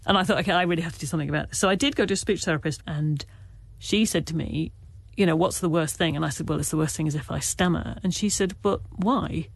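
A man from Wales being interviewed as an author, speaking with a stammer in halting, earnest, reflective stories full of restarts. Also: on the high side, vocal fry, breathy edge, no echo. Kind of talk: candid conversational interview.

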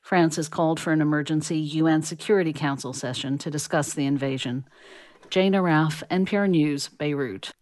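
A woman correspondent files a news report in an even reporting tone. Her voice is very low for a woman. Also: normal speed. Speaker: foreign correspondent.

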